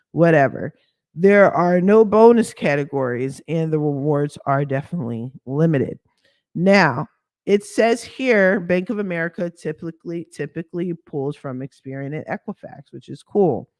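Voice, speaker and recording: A hoarse woman whose voice has dropped deeper from a lingering cough talks through a casual screen-share walkthrough. She runs a credit repair business and speaks candidly in slang, with sassy asides. Her voice is recorded dry and close on a computer mic. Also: darkish tone, dry recording.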